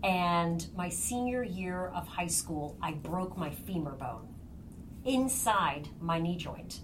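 A woman's voice: fairly low pitch, lively intonation, slow pace. A middle-aged woman with an American accent, telling personal stories casually as a podcast guest. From born in Kenya, raised partly in Tanzania and the US. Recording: clear background noise.

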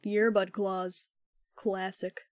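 A woman voicing an ironic young male slacker with a deadpan, mock-solemn and wordy delivery. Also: medium pitch, slow, lightly acted. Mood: teasing, wry.

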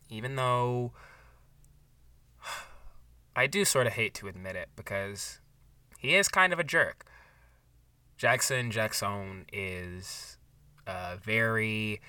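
An awkward, heartfelt man co-hosts a mock book podcast. His delivery is hesitant and slow, with a sharp, bright tone, in a natural improv comedy style. The recording is dry and slightly distant from the mic. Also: on the low side, clear, resonant tone, no room sound, heavily acted. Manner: halting, hesitant, sincere. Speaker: podcast co-host.

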